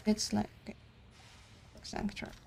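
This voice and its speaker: A woman, streaming a game and thinking aloud in a casual, halting way. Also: background noise. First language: Dutch. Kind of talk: casual live-stream commentary.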